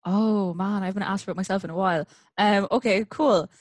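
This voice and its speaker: An Irish woman guesting on a podcast, chatting in an animated, storytelling way with lots of filler words. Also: medium pitch, a little fast, no echo, clean studio sound. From Ireland. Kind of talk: casual chat.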